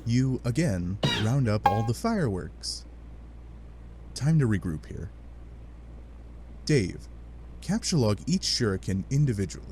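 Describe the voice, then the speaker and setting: A man giving animated, sardonic narration of a comic adventure, with playful dramatic asides. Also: mid-range voice, unhurried, long pauses, music in the background. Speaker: narrator of a fan dub read-along.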